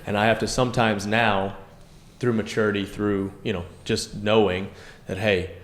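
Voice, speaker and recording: Loud, earnest man in an echoey room. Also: lowish voice, moderate pace. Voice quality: loud, carrying voice. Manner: earnest, energetic, self-reflective. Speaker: podcast co-host. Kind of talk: casual devotional discussion. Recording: echoey room with faint noise.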